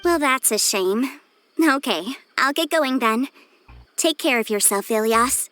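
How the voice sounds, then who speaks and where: A woman playing a caring older sister with a gentle manner, in a video game. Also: high-pitched, expressive, unhurried. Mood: kind.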